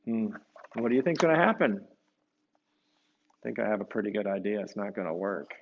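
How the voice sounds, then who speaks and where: A man reading a picture book to children, gently explaining it and often tagging questions onto his remarks. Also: fairly low pitch, lively intonation, moderate pace, gravelly, dull audio, slight background noise. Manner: gentle, explanatory, asks the listeners tag questions. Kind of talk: storybook read-aloud with conversational asides.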